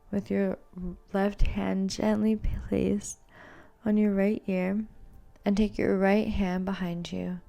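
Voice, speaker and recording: A woman leads a yoga class in a soft-edged, mellow voice with noticeable vocal fry. Her delivery is calm and very even in pitch, each instruction gently cued. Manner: calm, soothing, gently cueing. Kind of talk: guided yoga and meditation instruction.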